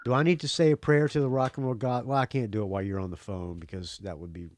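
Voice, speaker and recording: A man's voice: medium pitch, fairly even intonation, fast, a little mellow, no echo. A man with a faded Southern US accent, chatting casually as the host of a rock podcast. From Jacksonville, Florida, US. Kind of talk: casual phone chat on a rock music podcast.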